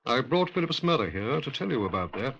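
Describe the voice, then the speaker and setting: A man's voice: medium pitch, sing-song, brisk, gravelly, fairly bright tone, a little hiss or hum. An actor playing an earnest British man helping with an investigation in a 1950s radio drama. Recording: dull vintage radio recording.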